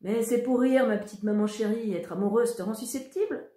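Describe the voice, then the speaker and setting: A woman reading aloud voices a teasing teenage boy who mocks his family in a loud, cheeky way. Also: medium pitch, expressive, a little fast, lightly acted. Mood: mocking. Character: teasing, mocking teenage boy, big brother. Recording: roomy echo.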